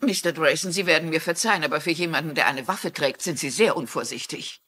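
A woman's voice: low voice, quick pace, a bit bright, breathy edge. An actress playing a shrewd, polite older woman amateur sleuth in a German-dubbed TV mystery, precise and probing. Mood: probing, courteous.

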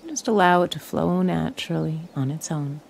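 A woman leading a guided meditation in a calm, soothing, measured way, leaving long pauses. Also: sits low, vocal fry, a little hiss or hum.

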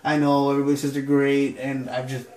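A man's voice: medium pitch, quick pace, echoey room. A man in his thirties with a stuffy nose, chatting casually and rambling with lots of ums while talking to the camera in a small home bathroom. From Southern California. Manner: relaxed, rambling with many ums. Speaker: shaving vlogger. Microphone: camera on a counter facing a mirror.

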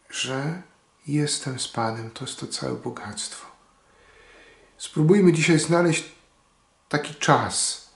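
A priest in his forties speaks very slowly and earnestly, with a gravelly edge to his voice. He pauses often between phrases. His voice echoes off the walls of a small room. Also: mid-range voice. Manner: slow, earnest, with long pauses. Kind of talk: short Sunday homily.

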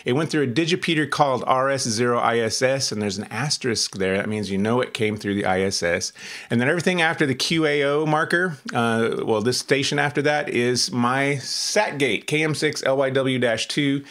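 A man in his fifties hosting a casual, relaxed tutorial from a small home radio room, chatting and thinking aloud as he demos on screen. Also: mid-range voice, moderate pace. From Oregon, US. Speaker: amateur radio channel host.

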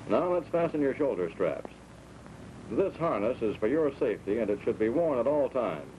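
An American man speaks as a flight instructor in a wartime-era training film, at an average male pitch. His delivery is scripted and orderly, taking each step in turn. The old 1940s soundtrack is dull and plainly noisy. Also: big pitch swings, normal speed.

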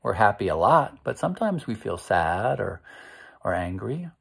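A middle-aged American man reading aloud and gently guiding children, explaining things and putting questions to his listeners. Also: lowish voice, sing-song, moderate pace, creaky, breathy edge. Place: small living room, indoors.

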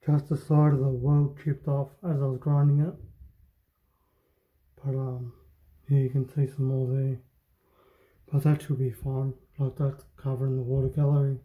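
A man, talking casually and haltingly about his workshop repair, with many pauses and ums, filmed close up on a handheld phone in an indoor workshop. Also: medium pitch, little pitch movement, narrow, tinny audio. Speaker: hobby boat mechanic. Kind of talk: casual workshop show-and-tell. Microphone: handheld phone camera, close-up.

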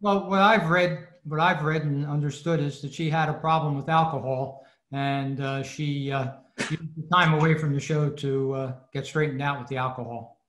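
Man, higher-than-average voice, halting casual explainer, roomy echo on a video call. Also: steady tone, fairly bright tone. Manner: halting, pausing mid-sentence. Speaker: club presenter.

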